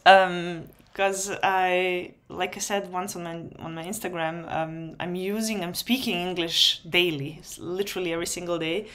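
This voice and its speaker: A Serbian-speaking woman giving a webinar lecture in English, with many pauses, ums and restarts. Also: lowish voice, steady tone, fairly bright tone. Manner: unhurried, many ums and restarts, light laughs. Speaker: webinar host. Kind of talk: spoken lecture.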